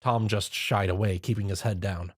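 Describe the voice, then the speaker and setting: A man narrating a fan story in a lively storytelling manner. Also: on the low side, moderate pace, slightly rough. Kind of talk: fan fiction reading.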